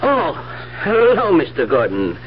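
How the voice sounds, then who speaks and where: An actor playing an obliging, plain-spoken apartment superintendent in a 1970s radio drama. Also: male voice, on the high side, huge pitch swings, a little slow, a little hiss or hum. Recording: muffled, humming old radio recording.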